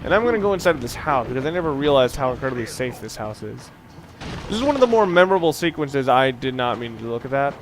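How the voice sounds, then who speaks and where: A man's voice: medium pitch, sing-song, fast talker. A man giving casual, unscripted video game commentary as a let's play host, rambling into musing, dryly humorous asides. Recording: clear background noise under the voice.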